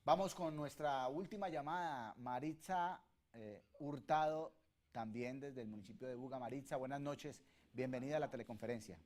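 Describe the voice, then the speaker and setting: A man's voice: medium pitch, normal speed, a little mellow. Formal man hosting a televised panel. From Colombia. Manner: formal, courteous. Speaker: host. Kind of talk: moderating a televised teleconference.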